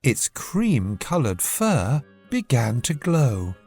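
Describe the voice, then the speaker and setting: A narrator reads a scripted bedtime story for children. The delivery is slow and measured but very expressive in tune, with music playing behind. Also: medium pitch, breathy edge.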